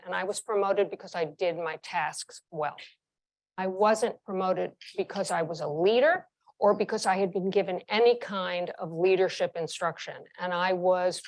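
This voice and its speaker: A woman in her sixties from New York, a former chief executive telling frank, vivid stories from her career in an interview. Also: on the low side. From New York, United States. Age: 60s. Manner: frank, vivid anecdotes with emphatic repetition. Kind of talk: candid interview storytelling.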